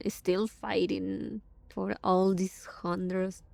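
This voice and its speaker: A woman giving casual live reaction commentary on a game story, halting and musing as she thinks aloud. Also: medium pitch, level tone, vocal fry, darkish tone, no echo.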